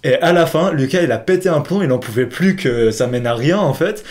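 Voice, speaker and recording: A man's voice: fairly high pitch, expressive, brisk, a little mellow. A young man co-hosting a podcast, casually telling an anecdote to a friend in slangy, laughing bursts. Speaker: podcast co-host.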